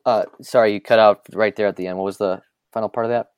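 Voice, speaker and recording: A man's voice: lowish voice, fairly even intonation, fast talker, a little mellow, clear, resonant tone, no echo. A man appearing as a podcast guest, explaining things hesitantly as he searches for words. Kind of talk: casual podcast chat.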